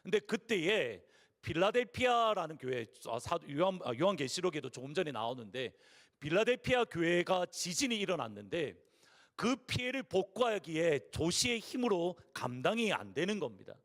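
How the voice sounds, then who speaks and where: A hoarse male pastor with a Busan accent delivers a Sunday sermon. His voice sits fairly high and swings widely in pitch as he tells stories and poses questions. It carries through the long reverb of a large church sanctuary. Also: very animated, moderate pace, a bit bright. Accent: Gyeongsang (Busan). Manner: earnest, storytelling preaching with rhetorical questions.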